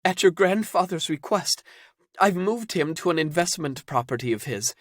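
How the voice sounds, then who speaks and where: A man voicing a breathless woman whose voice is scratchy from crying as she gently but firmly presses urgent news. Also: fairly low pitch, animated, moderate pace, no room sound, clean studio sound, pitched above the performer's own voice. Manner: gently insistent.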